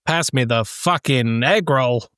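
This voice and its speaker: Mid-pitched man in halting casual debate. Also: a bit bright, clear, resonant tone, no echo, clean studio sound. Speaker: podcast co-host. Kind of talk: casual film discussion.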